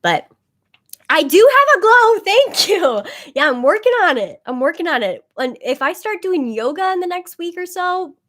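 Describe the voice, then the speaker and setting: A woman's voice: very high voice, very animated, moderate pace, a bit bright. A woman chatting casually on a webcam livestream, rambling with lots of "like" fillers and a slightly phlegmy voice. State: a bit phlegmy, congested. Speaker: tarot reader livestreaming to viewers.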